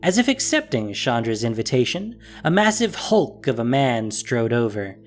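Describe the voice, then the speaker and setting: A man reading scripted story narration as the narrator of an audio drama. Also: mid-range voice. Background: music.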